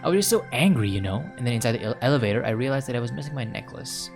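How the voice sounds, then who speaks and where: A man's voice: medium pitch, lively intonation, very fast, vocal fry, over music. A man voicing a chatty, flustered, scatterbrained young woman in a visual novel, rambling and gushing. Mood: indignant, rambling.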